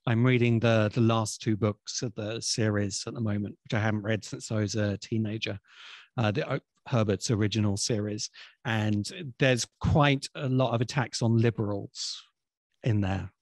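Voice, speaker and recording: A man in his forties explaining things conversationally in a thoughtful, hesitant way, pausing often, speaking into a close desk microphone. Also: on the low side, fairly even intonation, no echo. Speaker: guest expert on science fiction. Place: indoor room on a video call.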